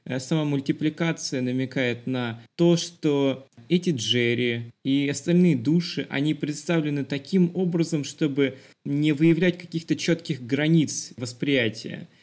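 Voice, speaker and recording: A man co-hosting a podcast, reflecting on film in a philosophical, measured way. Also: a little high, darkish tone. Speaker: podcast co-host.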